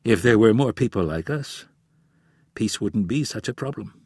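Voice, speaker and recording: An easygoing young man, read by a man in an audiobook, talks quickly in a friendly, lightly challenging way. The voice is husky and sits at an ordinary pitch. The sound is dry and close. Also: lively intonation, darkish tone, no echo. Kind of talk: audiobook character voice.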